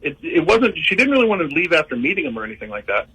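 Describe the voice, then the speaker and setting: A man with an American accent calling a radio show, telling his story casually and haltingly. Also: a little high, big pitch swings, bright, forceful tone, husky, rough recording. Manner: hesitant, halting. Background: music bed.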